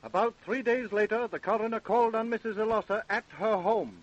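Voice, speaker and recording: A man's voice: high-pitched, fairly quick, natural voice. An actor, a man, as the solemn narrator of a crime story, in dramatic old-time radio style. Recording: muffled, noisy old radio recording.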